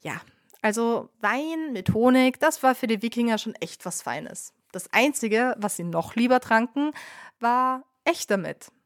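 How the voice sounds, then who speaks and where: A woman's voice: on the high side, expressive, unhurried, no room sound. Woman, podcast host, has a cold, wry playful delivery, dry close sound. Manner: playful, wry storytelling with ironic asides. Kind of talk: scripted podcast narration.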